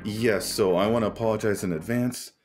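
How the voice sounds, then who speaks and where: A man co-hosting a casual gaming podcast while sick with a cold, his voice deepened by it, talking in a laid-back way. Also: fairly quick, darkish tone, soft background music. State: has a cold, voice deeper than usual. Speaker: podcast co-host.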